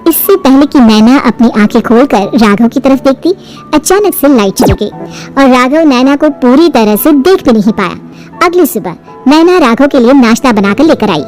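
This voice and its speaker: A woman's voice: high voice, expressive, moderate pace, darkish tone. A woman narrating a scripted folk story as the storyteller. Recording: music under the narration.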